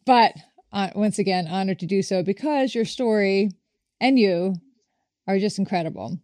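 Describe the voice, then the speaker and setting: A woman's voice: medium pitch, normal speed, darkish tone, no echo. Effusive woman hosting, close and dry. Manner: effusive, encouraging, casual. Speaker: interview host, author. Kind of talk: conversational interview.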